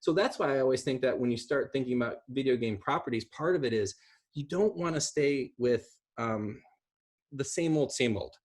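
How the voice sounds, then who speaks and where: A middle-aged man with an American accent and a slightly husky voice, talking casually and off the cuff in an animated, rambling way. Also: mid-range voice, normal speed. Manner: animated, gesturing, rambling with asides. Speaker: video host discussing video game films. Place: small room at home, indoors.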